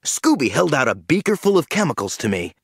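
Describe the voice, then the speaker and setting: A man narrating an audiobook in the first person with lively storytelling. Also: on the high side, vocal fry, breathy edge, no echo, studio quality. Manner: lively storytelling, slow and animated.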